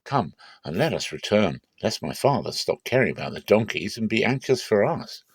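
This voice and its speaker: Man voicing an earnest young searcher, lively intonation. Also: fairly low pitch, normal speed, husky, no echo, clean studio sound, full character voice. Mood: earnest, concerned.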